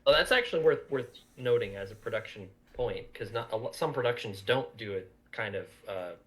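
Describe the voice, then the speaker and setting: A man's voice: medium pitch, lively intonation, fairly quick, fairly bright tone. A man from an audio drama crew, giving casual production commentary in conversation.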